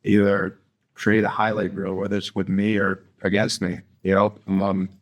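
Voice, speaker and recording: Man, young adult, low voice, blunt, swears, lots of restarts, casual interview. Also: completely flat delivery, moderate pace. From Greenwich, Connecticut. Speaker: guest, professional fighter.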